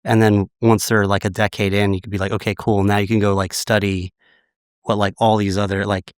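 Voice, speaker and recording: A man in his late thirties, a podcast guest, slightly raspy from a recent illness, talking in a relaxed, reflective way. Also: lowish voice, fairly quick, no room sound, studio quality. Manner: relaxed, reflective, many "like" fillers. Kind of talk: casual interview chat.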